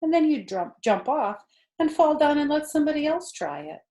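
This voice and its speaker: A woman storyteller narrating a children's book in an expressive reading style. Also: high-pitched, moderate pace.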